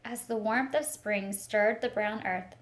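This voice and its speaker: A woman reading a picture book aloud to young children, expressive and speaking to them directly with teacher-like questions and asides. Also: medium pitch, measured pace. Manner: expressive storytelling, talks directly to young listeners.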